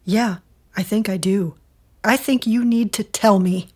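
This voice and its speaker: A woman playing a guarded, sharp-tongued character in an audio drama, with a pointed, challenging manner. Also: mid-range voice, unhurried, lightly acted. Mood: hurt, guarded.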